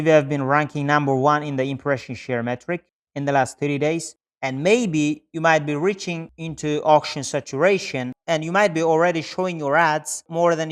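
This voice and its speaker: A man presenting an instructional screen-share tutorial, explaining step by step and addressing the viewer directly. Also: on the high side. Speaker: online marketing tutorial presenter.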